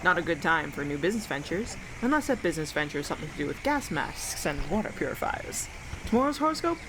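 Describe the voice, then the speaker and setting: A woman's voice: sits low, big pitch swings, fast talker. A young woman playing a sardonic, blunt homeless survivor of the apocalypse in an audio drama. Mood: mocking. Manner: sarcastic, blunt, slangy. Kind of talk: radio drama monologue. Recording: clearly noisy, ambient background noise.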